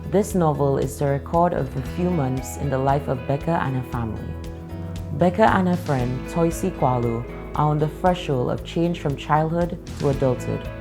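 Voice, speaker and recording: A woman presenter reads a scripted introduction. Her voice is exceptionally deep. Plucked guitar music plays clearly behind her. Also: normal speed. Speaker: student presenter.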